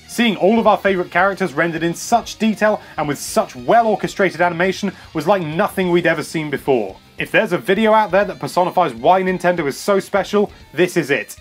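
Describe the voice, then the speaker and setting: A British man hosting a scripted countdown with an enthusiastic, cheeky manner. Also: sits high. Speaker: video host. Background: music.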